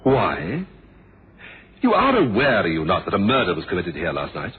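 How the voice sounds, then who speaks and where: A low-voiced detective inspector in an old radio mystery, dry, probing, formal, tinny recording. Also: male voice, huge pitch swings, normal speed. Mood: stern suspicion. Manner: drily wry, formal, probing. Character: shrewd, courteous, suspicious male police inspector. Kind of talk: old radio drama acting. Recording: thin band-limited old radio, noisy.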